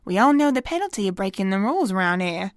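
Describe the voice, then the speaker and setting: A woman's voice: medium pitch, sing-song, fast, dry recording, studio quality, close to an everyday voice. A woman playing a caring but firm pony maintenance worker in an audio drama, coaxing and informal. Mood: worried, soothing.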